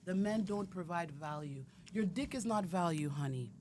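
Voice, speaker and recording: A woman's voice: on the low side, expressive, moderate pace, background noise. A woman, a guest in a casual podcast chat, blunt and outspoken. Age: 30s.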